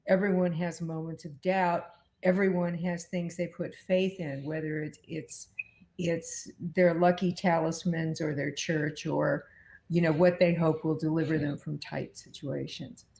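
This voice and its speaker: Low-voiced, thoughtful woman interview guest. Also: vocal fry, a little mellow. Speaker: guest, producer. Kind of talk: reflective interview talk.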